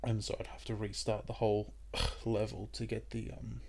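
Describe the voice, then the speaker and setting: A young Australian man with a croaky voice, chatting casually and rambling with lots of ums while he plays a puzzle game. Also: on the low side, normal speed, slight background noise. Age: young adult. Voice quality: croaky, gravelly voice. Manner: rambling and relaxed, with many ums. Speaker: gaming YouTuber. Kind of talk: casual let's-play commentary.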